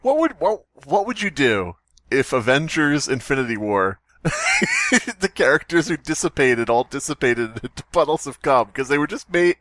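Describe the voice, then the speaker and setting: A man's voice: a little high, wildly swooping pitch, moderate pace, pushed and bright, no room sound. A man hosting a comedy podcast, bantering and reading a story aloud for laughs. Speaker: podcast host.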